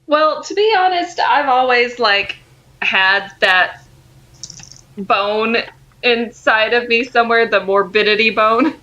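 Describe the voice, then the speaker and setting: A woman's voice: fairly high pitch, slow pace, bright, forceful tone, muffled recording. A young woman co-hosting a podcast with casual, wry, teasing banter. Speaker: podcast co-host.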